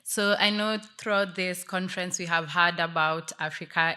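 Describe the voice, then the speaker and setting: Woman, Kenyan accent, low pitch, flat intonation, conference presenter. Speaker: panelist, tech community manager presenting.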